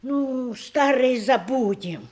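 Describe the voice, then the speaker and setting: A sly old provincial grandmother with a high voice. She takes her time over every line, teasing and merry in a folksy, old-fashioned way. It is theatrical verse comedy made for radio. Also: slow pace, darkish tone. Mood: merry nostalgia.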